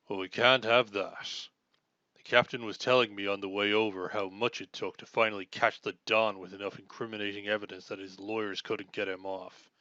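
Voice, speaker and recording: Casting: male actor as noble sword-wielding superhero, bright forceful tone, formal and self-assured, dry slightly dull recording, audio drama. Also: fairly low pitch, no room sound. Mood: composed, businesslike. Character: earnest, chivalrous knight-like armored male superhero swordsman. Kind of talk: scripted audio roleplay acting.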